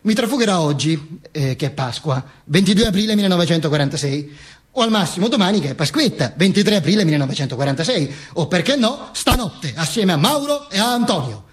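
An actor playing a dead Mussolini who narrates from the grave in a theatrical monologue, stammering and repeating himself, with mock-heroic declamation. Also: male voice, high-pitched, big pitch swings. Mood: mock-solemn storytelling. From Italy. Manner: stammering, self-correcting, repetitive, slipping into slow declamation. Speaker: stage actor performing a monologue.

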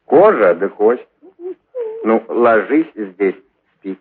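A man voicing a gently gruff new master coaxing a stray dog in an audiobook story. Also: on the low side, expressive, a little hiss or hum. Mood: coaxing, pitying. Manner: coaxing, drawn-out speech to an animal. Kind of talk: audiobook character acting. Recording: thin, narrow-band phone-like sound.

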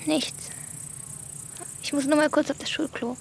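An actress playing a small, timid but quietly defiant thirteen-year-old girl in a radio play, speaking tersely and low-key. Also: little pitch movement, a little slow, vocal fry, a little hiss or hum. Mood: evasive. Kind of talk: German radio play acting.

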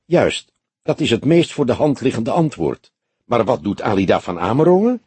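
Man reading as sulky, husky younger detective. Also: medium pitch, very animated, moderate pace, darkish tone, no room sound. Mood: questioning. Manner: peevish, questioning. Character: younger male detective sidekick, sulky, unsure, earnest.

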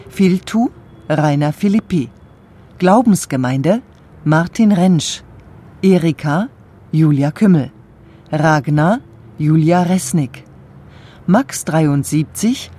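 Slow, dark-toned radio credits announcer. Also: mid-range voice. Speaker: announcer reading the closing credits. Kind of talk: scripted credits reading.

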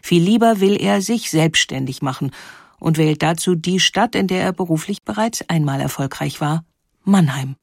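A woman narrating a scripted historical documentary in a measured, clearly phrased storytelling manner. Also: on the low side, animated, no room sound, clean studio sound. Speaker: narrator of a historical audio feature.

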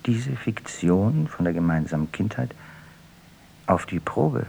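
A man's voice: low-pitched, sing-song, unhurried, creaky, darkish tone, slightly rough, full character voice. A man playing a terse, skeptical partner in an experimental radio play. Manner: terse, dry, contradicting. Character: skeptical man questioning shared childhood memories.

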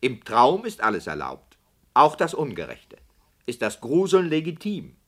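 An actor playing a radio drama director who protests and argues emphatically with the author. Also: male voice, medium pitch, huge pitch swings, unhurried, fairly bright tone, exaggerated voice. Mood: argumentative. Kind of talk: radio play acting.

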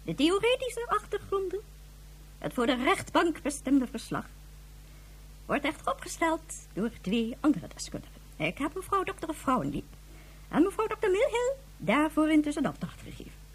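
A woman's voice: sits high, huge pitch swings, rough recording. An actress playing a stern, authoritative woman chief of a future society, speaking formally in a science fiction radio drama. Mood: cool, decisive. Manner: formal, measured, lecturing.

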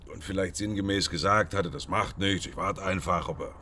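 Low-voiced, methodical police detective. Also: male voice, normal speed, sharp tone, a little hiss or hum. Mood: polite, probing. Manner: probing, matter-of-fact. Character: methodical police detective, matter-of-fact and polite. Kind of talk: naturalistic radio drama acting.

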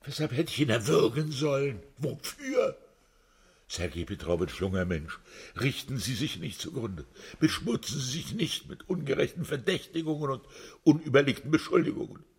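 An actor playing an accused prisoner in a radio drama, pleading his innocence emotionally with a husky voice. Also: very animated, normal speed, exaggerated voice. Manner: pleading, emotional, swinging pitch.

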